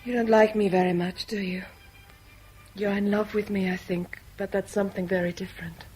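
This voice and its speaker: Actress as enigmatic proud woman, middle-pitched, theatrical, intense, old radio drama. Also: little pitch movement, normal speed, a little mellow, faint music behind, lightly acted. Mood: cool, challenging. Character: enigmatic, proud woman caught between two rival men. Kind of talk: 1970s British radio drama acting. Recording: faintly noisy vintage radio broadcast.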